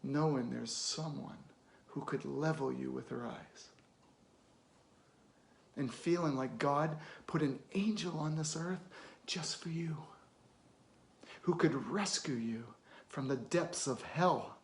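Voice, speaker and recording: Casting: male actor as middle-aged therapist, weary and blunt, higher-set gravelly voice, dramatic film monologue, deliberate delivery. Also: a little hiss or hum. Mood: tender, grave.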